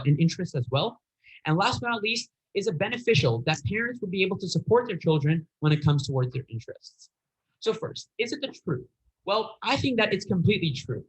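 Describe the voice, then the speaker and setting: Teen boy doing a speech contest, kinda high voice, earnest, stumbles a bit. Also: rough recording. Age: teens. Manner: earnest, a little hesitant, occasional fillers and restarts.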